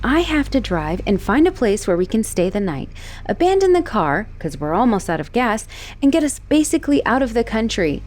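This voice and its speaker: A woman playing an obsessive, manipulative young woman who escaped an asylum, in a teasing, expressive roleplay monologue spoken straight to the listener. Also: a little high, moderate pace. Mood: irritated, scolding. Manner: teasing, possessive, expressive, swinging between sweet and exasperated. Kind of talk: dramatic roleplay audio monologue addressed to the listener.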